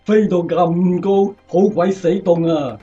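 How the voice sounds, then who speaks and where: High, mellow, grumbling turret gunner. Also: male voice, slow, dull audio, faint music behind. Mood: worried, grumbling. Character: uneasy, grumbling male bomber turret gunner. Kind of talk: Cantonese radio drama acting.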